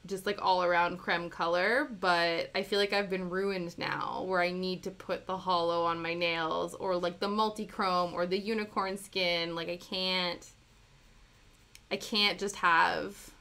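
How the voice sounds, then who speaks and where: A woman's voice: on the low side, level tone, measured pace, pushed and bright. A young woman from Canada chatting casually with viewers on a live stream, answering chat with giggly asides. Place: home, living in the UK. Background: faint background music.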